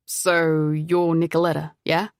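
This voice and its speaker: Refined young stranger, calm, measured, dropped low, voiced by a woman narrator. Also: dry recording, studio quality. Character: young man, calm and soft-spoken with a refined foreign accent.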